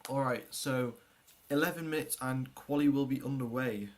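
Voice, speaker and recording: A man with a mid-pitched voice hosts a livestream in a casual, chatty way. He speaks to his viewers and gives race preview commentary, rambling a little, doubling back on words and letting sentences trail off. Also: moderate pace. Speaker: livestream host.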